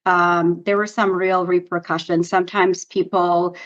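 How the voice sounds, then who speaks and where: A woman's voice: on the low side, flat delivery, unhurried. A middle-aged woman from Romania, a native Romanian speaker, telling her story in an upbeat way as a guest on a video-call interview. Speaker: guest, PR agency founder. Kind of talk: interview answers. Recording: slightly dull lo-fi audio.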